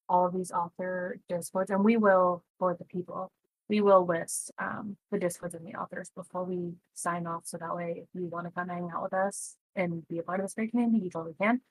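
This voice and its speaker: Low-voiced woman in her thirties, dry sound. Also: no echo, low-quality recording. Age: 30s. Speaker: podcast co-host. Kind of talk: casual chat.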